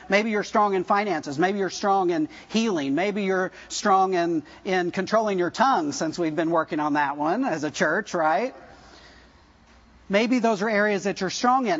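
A man's voice: high-pitched, normal speed, highs cut off. A man in his fifties preaching a sermon to his church, talking casually, joking and asking the congregation questions. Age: 50s. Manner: conversational, jokey, asks the congregation questions. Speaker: pastor.

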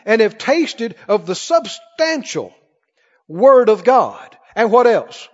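Male preacher, high-pitched voice, emphatic Bible teacher firing short questions at the congregation; long church reverb. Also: very animated, slow. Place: large church hall, before a congregation. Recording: muffled, echoing large hall.

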